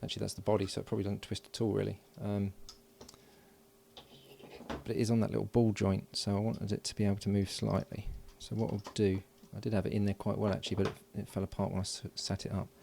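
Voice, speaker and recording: A man talking himself through a hands-on electronics project, halting and thinking aloud with frequent restarts. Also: lowish voice, flat delivery, creaky, warm tone, a little hiss or hum. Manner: halting, muttering, many restarts and fillers. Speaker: electronics hobbyist.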